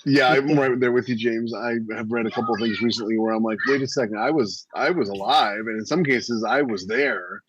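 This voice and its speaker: A man's voice: mid-range voice, brisk, few pauses. Through a dull, lo-fi microphone with faint noise, a man chats casually as a podcast co-host. He has a mid-range voice and circles back over his phrases as he works out a thought. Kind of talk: casual panel chat about writing fiction.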